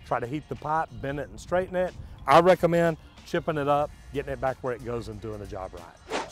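Folksy middle-aged plumber outdoors. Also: male voice, a little high. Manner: folksy, explanatory, addresses viewers directly. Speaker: plumber, channel host. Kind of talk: on-site walkthrough explaining. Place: outdoors at a building site on a concrete slab.

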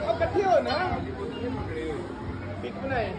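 A man's voice: very high voice, animated, takes it slowly, gravelly. Pakistani man vlogging amid crowd noise. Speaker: family vlogger. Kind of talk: casual chat to camera and children. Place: busy amusement park and outdoors. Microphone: phone at arm's length. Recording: noisy phone recording amid crowd clamour.

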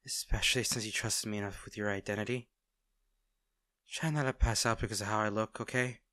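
A man's voice: lowish voice, fairly even intonation, a little fast, no room sound. A man playing a tender, nervous villain in an intimate audio roleplay, stammering and hesitant as he speaks to the listener. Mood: gently teasing. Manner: hesitant, stammering, soft-hearted. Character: smitten masked male villain, nervous, tender, teasing.

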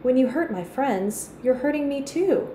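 A woman reading the part of Jesus in a storybook, an earnest voice from heaven, commanding yet gentle. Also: high voice, expressive, normal speed, pitched above the performer's own voice. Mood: solemn, firm. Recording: echoey room with faint noise.

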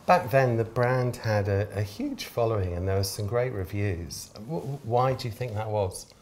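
A man's voice: on the low side, animated, moderate pace, a little mellow, a little hiss or hum. A man in his sixties hosting an interview and putting questions to his guest. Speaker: interviewer, host. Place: studio set, seated indoors.

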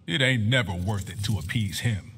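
A man's voice: on the low side, moderate pace, noisy background, close to an everyday voice. A man playing a blunt, tough-talking soldier father in a fighting game. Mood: stern. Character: gruff protective soldier father, middle-aged.

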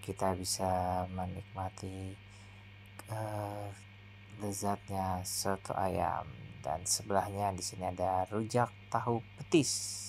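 A man narrating a hotel restaurant buffet tour as a food vlogger, in a steady, slow descriptive way. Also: sits low, creaky.

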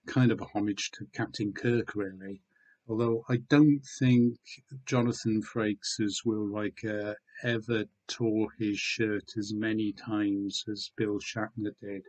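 A man in his sixties from Wales, talking casually and hesitantly in a low-key, understated way as he presents a home vlog. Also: darkish tone, rough recording. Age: 60s. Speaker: online seller and video host. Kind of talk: casual vlog chat, showing items. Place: small room at home with bookshelves.

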